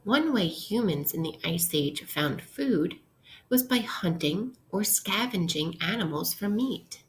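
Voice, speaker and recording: Slow-paced woman teacher reading aloud to children. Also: medium pitch, animated. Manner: instructional, posing questions to listeners. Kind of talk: interactive read-aloud lesson for young children.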